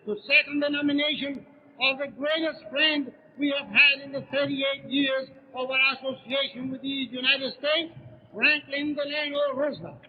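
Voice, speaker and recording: High-pitched man orating on tinny 1930s radio. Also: steady tone, normal speed, a little hiss or hum. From Puerto Rico. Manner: emphatic, ceremonious. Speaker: convention delegate giving a seconding speech. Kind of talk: formal political convention oratory. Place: large convention hall.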